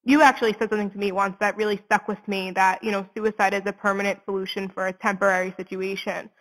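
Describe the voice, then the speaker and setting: A woman's voice: medium pitch, fairly even intonation, fast, a bit bright, long reverb tail, low-quality recording. A woman in her twenties speaking earnestly and conversationally as an interview guest, in candid, informal talk. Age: 20s. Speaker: interview guest, suicide prevention advocate.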